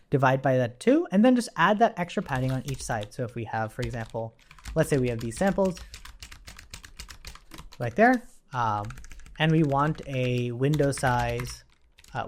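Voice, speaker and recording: A man's voice: a little high, darkish tone. A man giving a casual, step-by-step coding tutorial, thinking aloud and often checking in as he explains. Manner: informal, explanatory, often tags points with "right?". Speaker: programming tutorial instructor.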